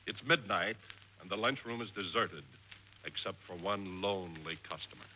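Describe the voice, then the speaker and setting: A man's voice: low-pitched, moderate pace. A man giving dramatic scripted narration as a 1940s radio announcer. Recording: old radio broadcast, faint noise.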